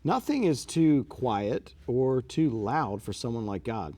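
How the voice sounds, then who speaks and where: Man, talk show host, enthusiastic, lively swooping delivery. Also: mid-range voice, takes it slowly, slightly rough. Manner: enthusiastic, animated host patter. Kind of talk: comedic talk-show skit.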